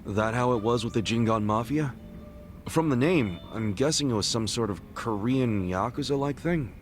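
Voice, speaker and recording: An actor playing a brash, good-hearted ex-yakuza in his forties for a video game, with a casual, blunt, slangy and expressive delivery. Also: medium pitch, moderate pace, faint music behind, lightly acted. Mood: friendly, prodding. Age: 40s. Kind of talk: English video game voice acting.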